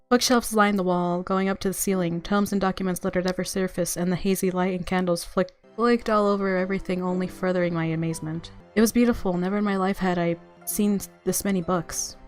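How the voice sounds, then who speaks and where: A woman reading a visual novel aloud as a gamer, narrating expressively and adding her own playful reactions. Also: mid-range voice. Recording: background music under voice.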